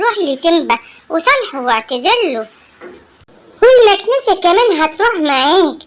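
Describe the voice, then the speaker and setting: A performer voicing a wise magic mirror in a children's cartoon, gently admonishing in Egyptian Arabic. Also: sits high, slight background noise. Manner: instructive, admonishing. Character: wise magic mirror, gently scolding, guiding moral teacher.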